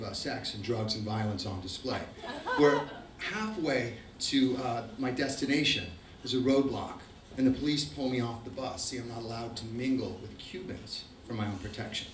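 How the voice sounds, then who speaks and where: A man's voice: medium pitch, brisk, slight background noise. Wry, profane man telling a story. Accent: Argentine. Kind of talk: live comic storytelling. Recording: roomy, distant mic.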